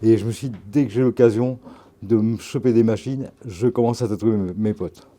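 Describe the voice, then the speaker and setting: An older man from Normandy, in his sixties, talks in a low, dark voice. He rambles through anecdotes in loose slang, restarting and circling back as he goes. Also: steady tone, moderate pace. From Normandy, France. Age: 60s. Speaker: tattoo artist and photographer. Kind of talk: casual interview, storytelling.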